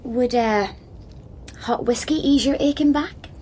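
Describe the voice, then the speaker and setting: A young Irish actress playing a nice, wry junior journalist in a naturalistic radio drama. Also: female voice, on the high side, a little slow, darkish tone, a little hiss or hum, close to an everyday voice. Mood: teasing. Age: young adult. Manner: wry, playful teasing.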